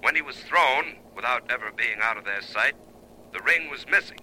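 An actor playing an urgent, formal newscaster reading a bulletin in 1940s radio style. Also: male voice, medium pitch, animated, moderate pace, sharp tone. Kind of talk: 1940s news bulletin delivery. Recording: noisy vintage 1940s radio recording.

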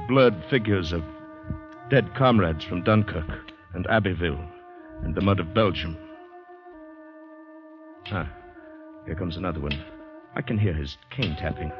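A man's voice: lowish voice, close to an everyday voice. An actor playing an anxious ex-soldier in a 1940s radio drama, brooding and hesitant as he talks himself through panic. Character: anxious ex-soldier fighting down panic, recounting his ordeal. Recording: dull old radio recording with music.

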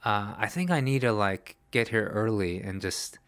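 A man's voice: lowish voice, moderate pace, fairly bright tone, dry recording. A man co-hosting a casual podcast, laid-back and self-mocking, laughing often. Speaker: podcast co-host. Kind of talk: casual chat.

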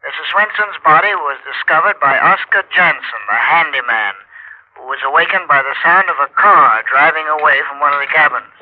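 An actor playing a formal, measured local radio newscaster of the 1940s, heard over a radio set. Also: male voice, fairly high pitch, lively intonation, a crowd in the background. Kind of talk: 1940s radio news reading. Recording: tinny narrow-band old radio recording.

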